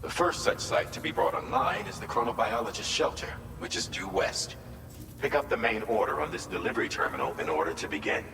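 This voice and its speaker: An actor playing a composed mission handler in a video game, giving measured, formal briefings. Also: male voice, lowish voice, very animated, gravelly, background noise. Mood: composed, instructive. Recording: echoing, long reverb, music behind.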